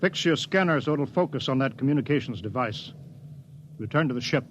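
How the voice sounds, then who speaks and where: An actor playing a wary, authoritative starship captain in a 1950s radio drama, giving clipped orders. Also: male voice, mid-range voice, lively intonation, brisk, slight background noise, close to an everyday voice. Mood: stern, commanding. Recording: dull vintage radio recording, music behind.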